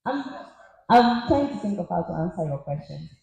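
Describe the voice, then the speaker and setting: A woman talks on a panel stage in a lively, storytelling way and laughs often. Her voice is mellow and a little dark. It rings through a large, very echoey auditorium. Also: fairly low pitch, normal speed, gravelly. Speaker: panel guest. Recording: echoey hall.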